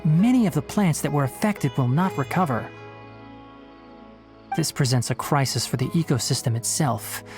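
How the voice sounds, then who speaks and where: A man plays a young fox-eared forest ranger in an anime game. He is mid-pitched, measured and articulate, earnest and a little stern as he explains, over soft music. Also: lightly acted. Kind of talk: anime-style video game voice acting.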